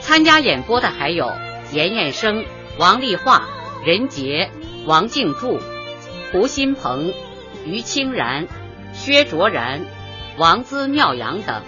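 A woman announcer reading scripted radio credits in a formal, measured way. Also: mid-range voice, very animated. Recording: dull, lo-fi audio over music.

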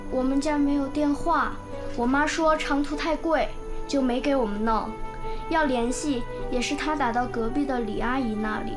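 A woman performs a young village schoolgirl in a radio drama. The voice is high, with an earnest, polite and apologetic manner. Soft music runs faintly underneath. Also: fairly even intonation, moderate pace, close to an everyday voice. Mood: sad, contrite.

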